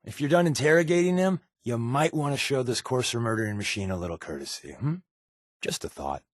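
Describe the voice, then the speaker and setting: An actor playing a wry, secretive spy in a video game, with a glib, offhand, conversational delivery. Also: male voice, mid-range voice, animated, fairly quick, no echo, studio quality, lightly acted. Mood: sarcastic. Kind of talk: video game companion dialogue.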